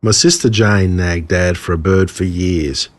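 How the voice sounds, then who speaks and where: An Australian man reading his own humorous stories as scripted narration, in an expressive, wry way. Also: deep voice, a little slow.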